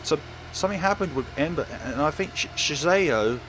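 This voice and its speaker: A man voicing a frightened, pleading high-school student in a visual novel, urgent and desperate. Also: lively intonation, normal speed, noisy background, lightly acted. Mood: alarmed. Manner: urgent, emphatic, stammering under shock. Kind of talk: dramatic visual novel voice acting.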